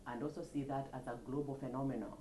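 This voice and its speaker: A woman, a professor and nonprofit founder, answering interview questions in an earnest, measured, lecturing way. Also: extremely low pitch, fairly even intonation, warm tone. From Kenya. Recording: roomy echo, faint background noise.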